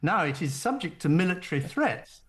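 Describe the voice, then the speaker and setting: Higher-voiced man, halting and deliberate. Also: big pitch swings. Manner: deliberate, halting, many restarts. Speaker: caller guest. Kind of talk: political argument on air.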